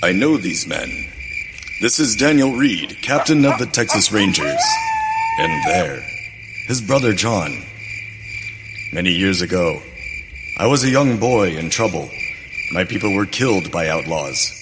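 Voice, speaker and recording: Deep solemn tracker, crickets behind. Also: male voice, wildly swooping pitch. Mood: solemn, grieving. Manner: grave, measured, short declarations. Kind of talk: western radio drama acting. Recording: reverberant hall, loud night insect noise.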